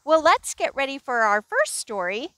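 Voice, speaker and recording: A woman's voice: high-pitched, very animated, normal speed, fairly bright tone, no echo. A middle-aged woman hosting storytime outdoors in a garden, talking to young children in a singsong manner. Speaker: children's librarian, storytime host.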